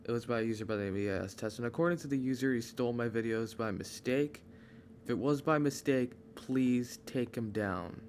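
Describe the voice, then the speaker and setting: A man giving a casual, unscripted channel announcement as a video creator, halting and rambling as he goes, on a microphone with no pop filter. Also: medium pitch, a little mellow, slight background noise. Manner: rambling, halting, often losing his train of thought. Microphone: microphone without pop filter.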